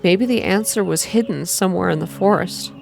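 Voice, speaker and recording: A woman's voice: medium pitch, fairly quick, creaky, over music. A woman narrating a story aloud in an ASMR reading style.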